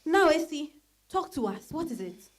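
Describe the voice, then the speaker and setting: Actress as weary caring mother, bossy, exclamatory, high voice, swooping intonation. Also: very animated, normal speed. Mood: surprised, bossy. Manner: exclamatory, peppered with interjections. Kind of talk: dramatized stage-style reading.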